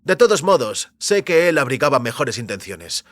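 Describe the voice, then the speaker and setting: Un actor interpreta a un extécnico fugitivo, decidido y calculador, en una audioficción, con habla segura y pausada. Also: male voice, medium pitch, sing-song, a bit bright, no room sound. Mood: determined. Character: Jennings, determined scheming former technician on the run. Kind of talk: science fiction audio drama dialogue.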